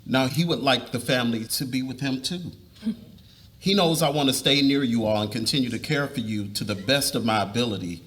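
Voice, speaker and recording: Man acting an earnest, mid-pitched husband. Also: fairly quick, a bit bright, natural voice. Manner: explaining, reassuring. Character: earnest, confessing husband and father. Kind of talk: dramatic reading.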